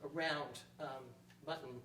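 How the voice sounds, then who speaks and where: A woman explaining things thoughtfully to an audience, with hesitant, measured phrasing and frequent pauses. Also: sits low, fairly even intonation. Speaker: panel speaker and performer. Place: indoor room at a public library event. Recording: roomy, distant mic, faint noise.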